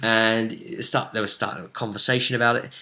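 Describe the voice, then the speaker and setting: Bright-voiced man recapping a role-playing game. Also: on the low side, little pitch movement, fairly quick. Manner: explanatory, often ending on tag questions. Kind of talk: casual conversational game recap.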